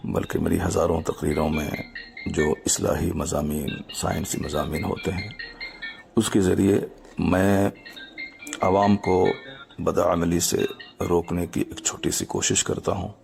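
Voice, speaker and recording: Very gravelly, low-voiced man. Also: flat delivery, a little mellow, soft background music. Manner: formal, measured, halting in short phrases. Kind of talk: explanatory public statement.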